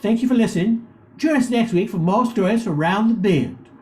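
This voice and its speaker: A man's voice: sits high, lively intonation, fairly quick, a little mellow. A man reading scripted narration for a radio story. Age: older.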